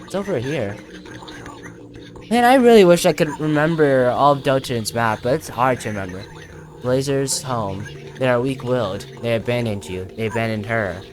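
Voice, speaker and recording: A man's voice: mid-range voice, normal speed, a little hiss or hum. A man commentating casually on his own video game playthrough, thinking aloud as he plays.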